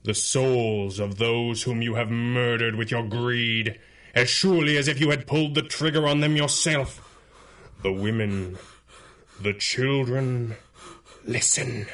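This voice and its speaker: A man acting a stern, slow-spoken masked hero. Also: medium pitch, a bit bright, natural voice. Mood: grave, accusing. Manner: slow, grave, deliberate menace. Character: stern, implacable masked hero with mind powers. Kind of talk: 1930s-set radio superhero drama acting.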